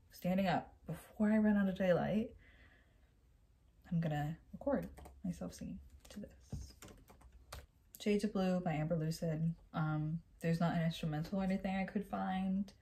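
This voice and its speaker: A woman's voice: on the low side, slight background noise. A young woman with a congested, stuffy nose, chatting casually and rambling to a phone camera in a small bedroom. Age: young adult. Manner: rambling, self-mocking, quick chatter. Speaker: singer vlogger. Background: song playing quietly.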